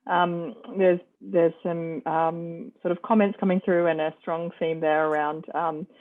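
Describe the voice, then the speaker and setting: A woman moderating an online panel discussion, with a hesitant, halting delivery. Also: on the low side, level tone. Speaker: forum moderator. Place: online video call. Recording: muffled, band-limited video call audio.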